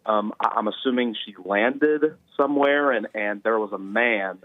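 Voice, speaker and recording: A man's voice: lowish voice, normal speed, no echo. A young man calling in to a talk show by phone, speaking hesitantly with lots of fillers. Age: young adult. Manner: hesitant, many fillers and restarts. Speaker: caller. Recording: tinny phone line.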